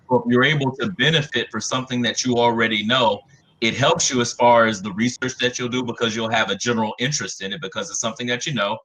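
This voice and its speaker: A man in his forties, a financial advisor giving a webinar talk in a measured way, often starting with "again". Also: medium pitch, bright, forceful tone, low-quality recording. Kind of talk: webinar presentation.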